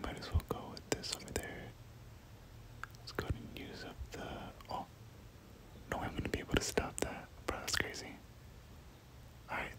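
Hushed whispering gamer doing ASMR commentary. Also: low-quality recording. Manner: casual, slangy, muttering reactions and repeating phrases. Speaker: gaming video creator.

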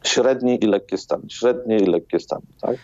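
A man's voice: on the low side, normal speed, darkish tone. A man, a doctor answering interview questions hesitantly, repeating words as he explains, over a video call. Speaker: doctor, hospital chief interviewed as guest. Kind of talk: live radio interview answers. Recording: dull, roomy video-call sound.

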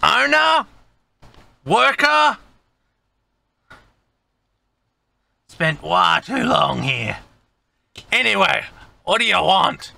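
A man's voice: high voice, very animated, takes it slowly, creaky, bright, forceful tone, dry recording, voice pushed up, exaggerated voice. A man doing a grumpy old alchemist woman. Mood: curt. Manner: curt, dismissive, snappy. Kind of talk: tabletop game voice acting.

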